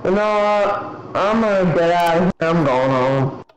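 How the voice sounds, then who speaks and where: A man's voice: high-pitched, animated, unhurried, reverberant, faint music behind. A young man speaking casually as a player in an improvised gaming roleplay. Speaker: player in a Minecraft roleplay video. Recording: faintly noisy gaming voice chat.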